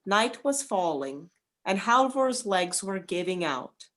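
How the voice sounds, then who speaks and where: Slow-paced woman narrating a folktale. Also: mid-range voice, big pitch swings, fairly bright tone. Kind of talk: scripted folktale narration for an amateur radio play.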